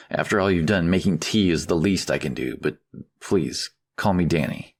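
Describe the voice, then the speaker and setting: Man acting a middle-aged father, audiobook drama, low-pitched, gravelly, polite, hesitant, worried. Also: full character voice. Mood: polite, hospitable. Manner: halting, polite, soft-spoken hesitations.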